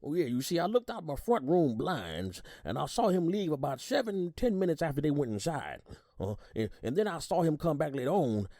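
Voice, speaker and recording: Man acting a folksy, animated older witness. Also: on the high side, brisk, no echo, clean studio sound. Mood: gossipy. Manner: folksy, rambling, repeats words, hums agreement. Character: folksy older male retired army sergeant, gossipy eyewitness. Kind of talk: audio drama acting, courtroom testimony.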